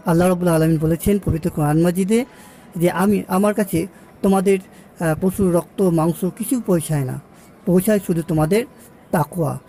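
A man's voice: high voice, brisk, mellow, a little hiss or hum. A man voicing a pious, earnest uncle who advises in a preachy way in an amateur cartoon. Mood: earnest, correcting. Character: pious, earnest uncle giving gentle moral advice.

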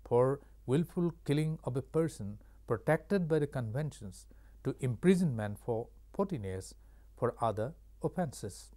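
An Indian-accented man in his sixties delivering a scripted lecture in a formal, measured way with halting phrasing. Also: medium pitch, mellow. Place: studio with curtain backdrop. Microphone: lapel mic.

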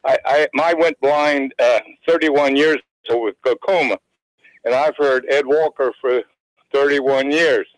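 Elderly male caller, casual, halting speech with repeated words, medium pitch, phone-in recording. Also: no echo. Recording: phone call on radio.

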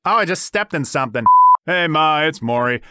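A man's voice: fairly high pitch, animated, a little fast, bright, forceful tone, clear, resonant tone. A man voicing a cocky, dim, teasing teenage anime tough guy in a comedic parody dub, rambling in slang with goofy bravado.

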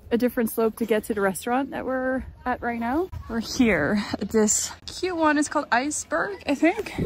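A woman's voice: on the high side, darkish tone, a little hiss or hum, rough recording. A woman in her twenties from the Netherlands chatting casually and enthusiastically as a vlogger, speaking English as a second language. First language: Dutch. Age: 20s. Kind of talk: casual vlog chat.

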